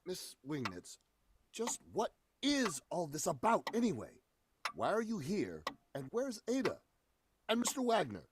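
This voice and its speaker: An actor playing a bewildered, impatient gentleman in 1940s radio horror style, questioning everything in an exasperated, emphatic way. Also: high-pitched, wildly swooping pitch, measured pace, noisy background, heavily acted. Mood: suspicious.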